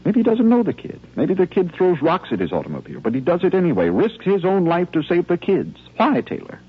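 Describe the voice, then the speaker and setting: A male actor playing an earnest army lieutenant who steadies his men in measured, rhetorical phrases, in a 1950s radio drama style. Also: high-pitched, huge pitch swings, slightly rough, full character voice. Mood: urgent, persuasive. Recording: muffled old 1950s radio recording.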